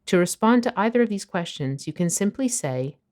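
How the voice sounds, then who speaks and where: A woman narrating a scripted language lesson, explaining phrases clearly and at a measured pace. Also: mid-range voice, expressive, darkish tone. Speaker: language teacher.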